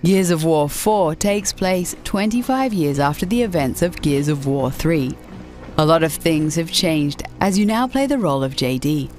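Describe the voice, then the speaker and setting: A woman with a low voice delivers scripted narration as the presenter of a video game list show. Music plays clearly behind her. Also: lively intonation, normal speed, a little mellow.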